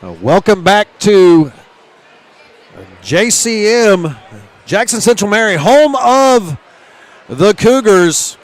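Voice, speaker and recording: A man calling a live high school basketball game and interviewing at halftime, energetic, with frequent "uh" fillers. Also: takes it slowly, long pauses. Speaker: play-by-play announcer.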